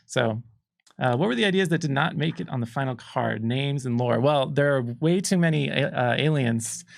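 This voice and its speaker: A man's voice: mid-range voice, normal speed, gravelly, no room sound, studio quality. A man co-hosting a livestream, explaining a game in casual, unscripted chat and thinking aloud as he goes.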